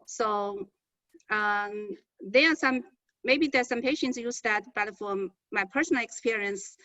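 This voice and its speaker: A woman physician from China with a Chinese accent, speaking halting non-native English with repeated words as she presents to colleagues on a medical conference call. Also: mid-range voice, sharp tone, no echo. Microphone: conference call connection. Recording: dull, band-limited conference call audio.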